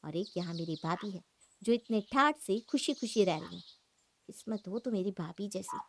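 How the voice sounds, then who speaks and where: A woman's voice: medium pitch, lively intonation, normal speed, slight background noise, voice pushed down, natural voice. A woman voicing a jealous, sulky young married woman in a cartoon moral story, complaining and argumentative. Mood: envious grumbling.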